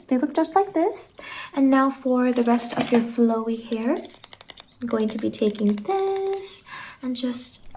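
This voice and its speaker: Casting: female ASMR performer as a whimsical, friendly stylist; high, unhurried, soft and whispery, gently reassuring. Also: slight background noise, poor audio. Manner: gentle, reassuring, addresses listener as a client.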